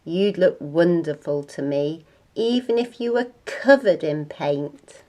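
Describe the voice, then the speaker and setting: A woman voicing a gallant, affectionate father elephant from a children's storybook, with a gentlemanly manner. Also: low voice, slow pace, voice pushed down.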